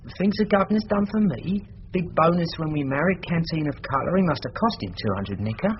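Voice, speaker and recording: An actor playing a cheeky, loyal working-class husband in trouble, with a London accent, in a radio drama. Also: male voice, a little high, expressive, fairly quick, natural voice. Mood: earnest, defensive. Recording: muffled, faintly noisy radio recording.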